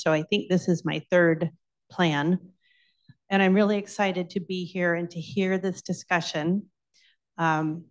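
A woman gives public comment at a meeting. Her voice sits a little low for a woman. She speaks as a member of the public addressing a board. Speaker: public commenter, bicycle coalition president. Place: indoor public meeting room.

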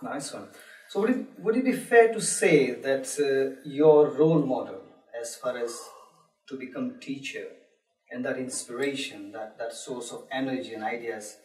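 A man's voice: mid-range voice, normal speed, a little mellow. A middle-aged man whose first language is Gujarati, hosting a studio interview and talking hesitantly, with many filler sounds. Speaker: talk show host. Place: small studio in front of a pink backdrop. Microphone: desk mic on a stand. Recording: roomy sound from a distant mic.

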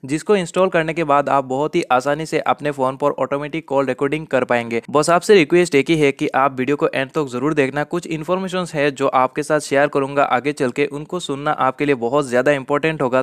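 A man's voice: fairly high pitch, a bit bright, clean tone. A man hosting a tech tutorial, giving scripted, instructional step-by-step narration with repetitive phrasing. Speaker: tech tutorial video host.

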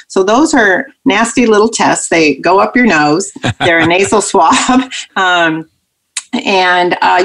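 A woman physician explaining health topics on a radio talk show in a practical, even manner. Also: fairly low pitch, poor audio. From Pacific Northwest, US. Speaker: physician guest.

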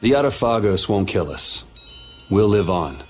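A man's voice: on the low side, sing-song, a little slow, faint music behind. A man playing a laid-back, wry young wandering swordsman in a video game, with a casual, dry, understated delivery. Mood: resolute.

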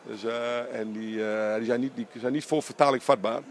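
A man's voice: mid-range voice, slight background noise. A middle-aged man, a basketball coach, talking emphatically in a post-game interview inside an empty arena, close to a handheld mic. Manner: animated, emphatic, talks in quick bursts. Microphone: handheld interviewer mic held close.

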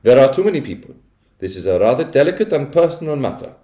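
A man's voice: medium pitch, very animated, fast, dark tone, voice pushed up, close to an everyday voice. A man voicing a kindly old grandmother in a storybook, patient and polite but firm and insistent. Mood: polite, bristling. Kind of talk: children's storybook character voice. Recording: echoey room.

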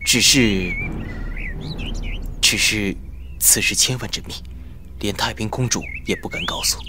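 A man's voice: on the low side, measured pace, noisy background, lightly acted. A man playing a discreet, cautious court envoy in a historical audio drama, formal and careful. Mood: grave, urgent.

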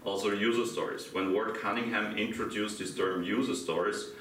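Austrian-accented man, deep, bright in a roomy hall. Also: steady tone, normal speed. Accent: Austrian German. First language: German. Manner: asks the audience questions, laughs lightly. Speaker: conference speaker. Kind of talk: interactive conference talk. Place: dim hotel conference room, small audience. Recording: echoey room from far off, faint hiss.

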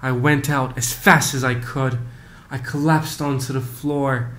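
A man in his late teens, a student, solemnly reading a poem in deliberate phrases into a webcam at home. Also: flat delivery, a bit bright. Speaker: student poet. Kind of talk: poetry reading. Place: living room, close webcam. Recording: faint rumbling background noise.

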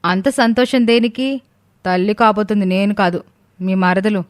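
A woman's voice: mid-range voice, normal speed, dry recording, clean studio sound, close to an everyday voice. A woman playing a jealous, scheming young wife in a family story, complaining and sarcastic. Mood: bitter, sulky. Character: jealous, bitter young married woman, scheming sister-in-law.

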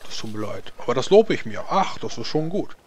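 A man's voice: mid-range voice, very animated, brisk, a crowd in the background, lightly acted. A man roleplaying a blunt, curious and proud dwarf in a tabletop game, asking naive questions and often repeating himself. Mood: proud, sympathetic.

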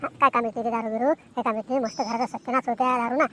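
A woman's voice: a little high, fairly even intonation, racing, bright, forceful tone. A woman voicing a chatty, boastful village man in an amateur cartoon comedy, emphatic and repetitive, with a rural Maharashtrian accent. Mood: chatty, animated. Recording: noticeable background noise.

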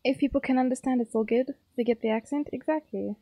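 Young South African woman, streamer, playful teasing chat, highish voice, mellow tone, dry close sound. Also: quick pace, breathy edge, no room sound. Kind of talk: casual chat with viewers while gaming.

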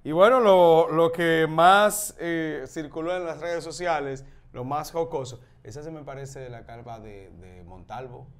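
A man in his thirties with a Dominican accent, chatting casually about the news as a TV co-host, in an amused, animated manner. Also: a little high, unhurried, few pauses, clear, resonant tone. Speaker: TV morning show co-host. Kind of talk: casual news chat and banter. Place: TV studio desk.

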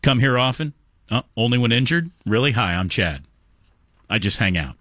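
A man on talk radio voicing a creepy, soothing male ballplayer who offers slow reassurance. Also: mid-range voice, lively intonation, sharp tone, dry recording, studio quality, pitched below the performer's own voice. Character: creepy, soothing male drunk baseball player consoling strangers.

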